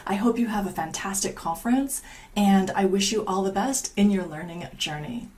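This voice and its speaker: A woman's voice: medium pitch, normal speed, darkish tone. Upbeat woman presenting online lessons. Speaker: presenter of free learning curricula. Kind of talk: recorded promotional talk.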